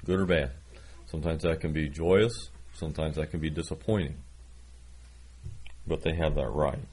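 Man, 60s, preacher; low, husky, folksy and self-deprecating. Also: fairly even intonation, normal speed, darkish tone. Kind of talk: sermon with scripture reading.

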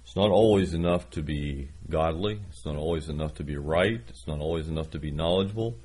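Man, 60s, preacher; low, husky, folksy and self-deprecating. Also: fairly quick, a little mellow. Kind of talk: sermon with scripture reading.

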